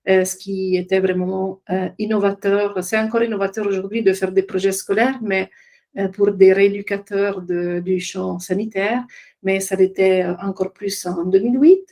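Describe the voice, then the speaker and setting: Middle-aged lady w/ presenting her work, medium pitch, kinda hesitant, hunting for words. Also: fairly even intonation, moderate pace, warm tone. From Italian-speaking Switzerland. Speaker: occupational therapist, trainer and business founder presenting. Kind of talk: informal web conference presentation.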